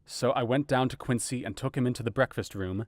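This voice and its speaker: An actor playing a grave, conscientious doctor, narrating his own account in a measured, formal, sombre way in an audio drama. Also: medium pitch, close to an everyday voice. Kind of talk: dramatized first-person narration.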